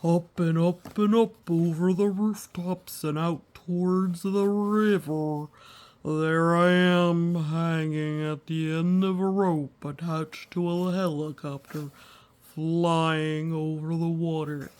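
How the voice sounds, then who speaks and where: A woman's voice: lowish voice, fairly even intonation, slow, darkish tone. A woman voicing an anxious, gloomy male walrus in a storybook, dwelling dramatically on each misfortune. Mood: dramatic alarm. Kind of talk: storybook read-aloud character voice.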